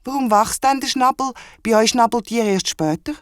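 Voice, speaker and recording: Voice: medium pitch, moderate pace, no echo, clean studio sound, pitched above the performer's own voice, lightly acted. A storyteller voicing a nosy, insistent, inquisitive hedgehog with a Swiss German accent in a children's audio story. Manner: persistent, inquisitive. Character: nosy, insistent hedgehog who must know everything.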